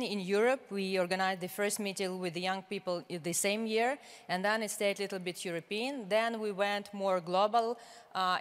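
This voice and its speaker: A young woman opens a conference session. Her voice is smooth and resonant, and she pauses often with fillers as she reads from notes. She speaks into a handheld microphone, and a long reverb hangs behind her in a large hall. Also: medium pitch. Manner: formal, hesitant with frequent fillers, reading from notes. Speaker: moderator. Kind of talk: conference opening remarks.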